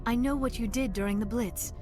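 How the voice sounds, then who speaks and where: A woman voicing a gentle, earnest young female alien scientist in a video game, speaking formally and carefully. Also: on the high side, fairly quick, lightly acted. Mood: solemn, admiring. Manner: formal, careful phrasing without contractions. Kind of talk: video game dialogue acting. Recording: music behind the voice.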